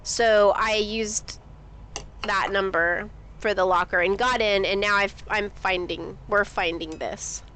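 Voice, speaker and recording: A woman's voice: mid-range voice, fairly even intonation, takes it slowly, a bit bright, slight background noise. A woman streaming a game, sniffly, chatting casually with viewers and reading things aloud. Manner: chatty, reads things aloud, asks chat questions. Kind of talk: casual live-stream commentary.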